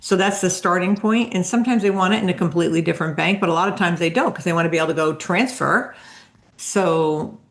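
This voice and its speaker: Gravelly low woman, laughing co-host. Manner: laughing, storytelling, quick asides. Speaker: podcast co-host, accountant. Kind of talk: casual podcast chat.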